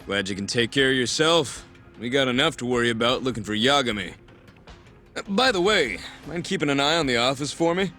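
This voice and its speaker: A man's voice: medium pitch, expressive, a little fast, sharp tone, faint music behind. An actor playing a casual, easygoing gangster henchman in a video game cutscene, offhand and chummy.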